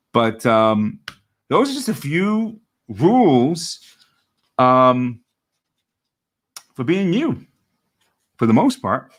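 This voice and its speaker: A middle-aged man with a low voice, a coach giving an earnest motivational talk over a video call, often restarting and repeating words. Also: fairly bright tone.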